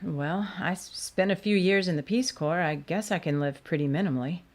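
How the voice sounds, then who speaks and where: A woman's voice: lowish voice, normal speed, lightly acted. A woman playing a weary, skeptical doctor in an audio drama, with a dry, sardonic manner. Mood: wry, uneasy. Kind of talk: scripted audio drama acting.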